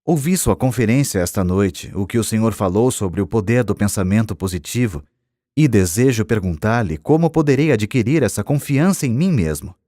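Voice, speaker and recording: A despondent Brazilian businessman of forty, played by a male audiobook narrator, short on confidence and pleading earnestly. His low voice rises and falls in a lively way, recorded very dry. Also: normal speed, dry recording. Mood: dejected, pleading. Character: despondent, anxious forty-year-old businessman lacking self-confidence. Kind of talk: audiobook character dialogue.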